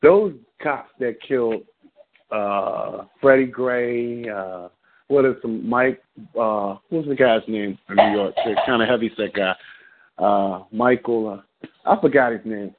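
A man's voice: mid-range voice, slow, a little hiss or hum. Impassioned man on a tinny phone line. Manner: blunt, impassioned and rambling. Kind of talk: call-in radio commentary.

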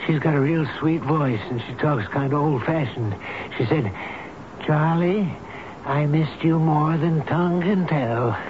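An actor playing a gentle, loyal old elevator operator in a radio drama, speaking in a halting, earnest, folksy and tender way. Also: male voice, fairly high pitch, close to an everyday voice. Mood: tender, wistful. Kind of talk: 1970s radio drama acting. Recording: noisy muffled old radio broadcast recording.

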